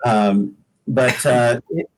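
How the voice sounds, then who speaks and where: A man's voice: medium pitch, very slow, rough recording. A man in his fifties from the Pacific Northwest with an American accent, chatting casually and jokingly as a songwriter guest in an interview. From Pacific Northwest, United States. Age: 50s. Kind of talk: casual podcast interview chat.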